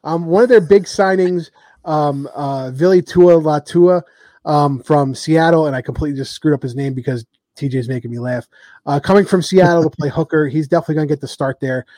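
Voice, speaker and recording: Man, podcast host, casual rugby talk, rambling with fillers, joking jabs, warm tone, close dry mic. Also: a little high, fairly quick, dry recording. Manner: rambling, lots of ums, joking trash talk.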